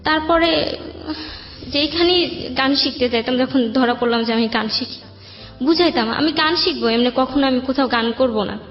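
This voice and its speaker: A woman's voice: high voice, normal speed. Bangladeshi woman in an echoey room. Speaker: interview guest. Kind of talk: personal life storytelling, conversational. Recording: echoey room, dull sound, faint music.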